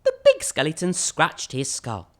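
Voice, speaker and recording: A woman's voice: deep voice, huge pitch swings, unhurried, fairly bright tone. A woman storyteller reading a children's storybook expressively, with theatrical, emphatic phrasing.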